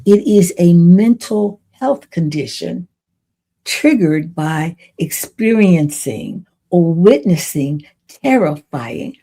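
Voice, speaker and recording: Very slow-talking elderly woman, mellow voice. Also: lowish voice. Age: 80s. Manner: deliberate, with dramatic pauses between short phrases. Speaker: podcast host. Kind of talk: personal testimony storytelling to listeners.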